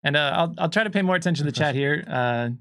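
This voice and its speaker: A man's voice: fairly high pitch, lively intonation, quick pace, fairly bright tone, clean tone, no echo, clean studio sound. A man co-hosting a livestream, explaining a game in casual, unscripted chat and thinking aloud as he goes.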